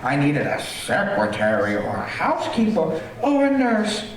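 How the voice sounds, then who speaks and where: A man's voice: on the high side, wildly swooping pitch, unhurried, a little hiss or hum, full character voice. A man playing a gleeful, sinister killer in a live radio horror play, savoring his words theatrically with chuckles. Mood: gloating. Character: gleeful, sinister male killer who lures housekeepers. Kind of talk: live stage performance of an old-time radio horror play. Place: theater stage, live stream. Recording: very echoey theater, distant microphone.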